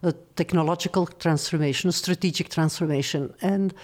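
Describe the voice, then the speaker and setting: Woman, middle-aged, lowish voice, measured storytelling. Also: a little mellow. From Belgium. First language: not English. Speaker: guest, former studio executive. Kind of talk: interview conversation.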